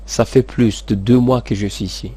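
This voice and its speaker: A man teaching English, saying model sentences slowly and switching quickly into rapid explanations. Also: mid-range voice, fairly even intonation, dark tone, breathy edge. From Madagascar. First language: Malagasy. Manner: slow, careful model sentences between fast explanations. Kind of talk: language lesson, explaining in Malagasy and French.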